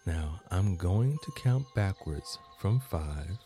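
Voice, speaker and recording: A man hosting a guided meditation, narrating in measured, deliberate phrases with long pauses. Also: sits low, expressive, dark tone, faint music behind. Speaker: guided meditation podcast host.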